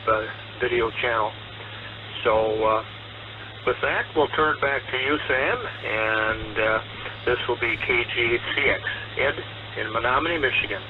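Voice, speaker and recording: A man checking in over amateur radio, giving a casual, matter-of-fact report in a measured, informative way. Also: medium pitch. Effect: radio transmission. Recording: muffled, noisy ham radio link with hum.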